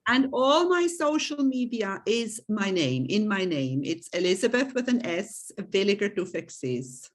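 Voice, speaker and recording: A woman's voice: mid-range voice, very animated, unhurried, hardly any pauses. A woman from Switzerland, a guest in an interview, speaking earnestly and repeating key points for emphasis. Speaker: guest, coach and author. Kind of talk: interview conversation.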